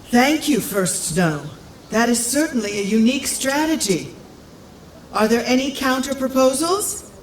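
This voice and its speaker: An actress playing an elderly, composed town speaker in an audio drama, with a measured, formal, dry way of talking. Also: female voice, high voice, animated, roomy sound, slight background noise, poor audio. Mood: measured, diplomatic. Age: older.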